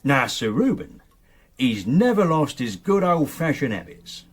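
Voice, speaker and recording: Radio drama: opinionated working-class English male servant, grumbling, animated intonation. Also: fairly high pitch, unhurried, slightly rough, full character voice. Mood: disapproving.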